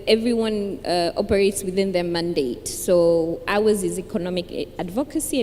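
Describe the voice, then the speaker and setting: A woman introducing her work on a panel, friendly, with many fillers and restarts. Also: a little mellow. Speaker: panelist, founder of an organization. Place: conference hall with audience. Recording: reverberant hall, faint rumble.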